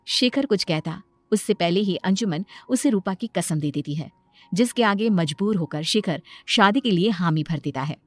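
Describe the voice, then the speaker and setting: A woman's voice: on the low side, animated, normal speed, no echo. A woman storyteller delivers scripted narration for a moral story. Faint music plays behind her voice.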